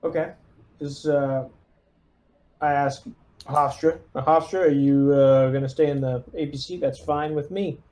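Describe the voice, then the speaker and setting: A man with a dark, relaxed tone talks very slowly as he plays a tabletop game. He gives orders as the lieutenant, casually and with hesitation, working them out as he speaks. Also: a little high. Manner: hesitant, deliberating. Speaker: player acting as squad lieutenant in a tabletop game.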